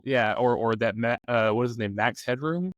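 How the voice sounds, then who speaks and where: A man's voice: medium pitch, normal speed, dry recording, highs cut off. A man talking casually in a loose, informal way, thinking aloud as he offers advice in a discussion. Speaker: discussion panelist on a game master advice show. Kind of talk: casual chat.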